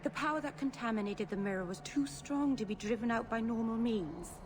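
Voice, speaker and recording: Game role: woman as young female elf mage, highish pitch, earnest and stubborn, over noisy ambience. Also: fairly quick, lightly acted. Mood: earnest, defensive. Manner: earnest, emotional. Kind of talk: video game cutscene acting.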